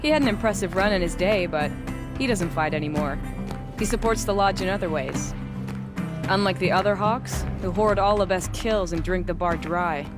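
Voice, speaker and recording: An actress playing a proud, hard-bitten hunter in a video game, with a wry and blunt way of talking. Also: female voice, fairly low pitch, normal speed, over loud music, lightly acted. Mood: blunt, instructive.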